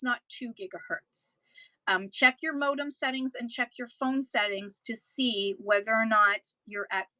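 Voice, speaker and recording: A woman's voice: a little high, pushed and bright, dry recording, rough recording. A Canadian woman, a livestream seller, chatting casually and practically to her viewers as she gives selling advice. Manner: chatty, practical, with frequent ums and restarts. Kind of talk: casual instructional talk to viewers.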